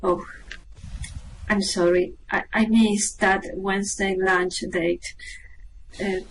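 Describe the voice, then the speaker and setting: Radio play part: clever, composed young spacefaring woman, actress, unhurried, off-mic with faint noise. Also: mid-range voice, level tone. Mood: wry, composed. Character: cool, clever, unruffled young female space traveller. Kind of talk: amateur radio play acting. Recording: distant mic, background noise.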